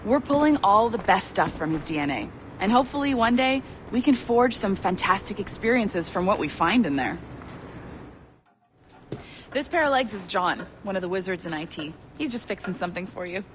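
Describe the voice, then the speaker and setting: Brisk, welcoming office supervisor played by a woman. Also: mid-range voice, background noise, close to an everyday voice. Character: upbeat friendly office supervisor, adult woman. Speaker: supervisor showing a new hire around. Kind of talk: video game cutscene acting.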